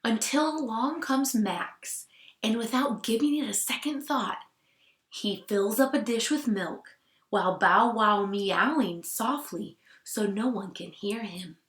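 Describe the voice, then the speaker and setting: A woman with a fairly high voice reads a picture book to a class at a very unhurried pace. She is expressive and drops into hushed asides to the children. The room around her sounds echoey. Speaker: teacher reading aloud to class. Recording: roomy, echoing sound.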